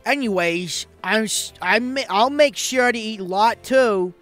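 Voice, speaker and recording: A man's voice: sits high, unhurried, fairly bright tone, soft background music. A man voicing a chatty young bespectacled manga team member in a playful comedic visual novel dub.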